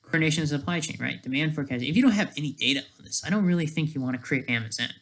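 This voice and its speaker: Casting: amateur vlogger, medium pitch, rambling unscripted commentary, restarts sentences and checks in with "right?". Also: animated, rapid-fire, fairly bright tone. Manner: tags statements with "right?"; rambling, trails off mid-sentence. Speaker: amateur video creator.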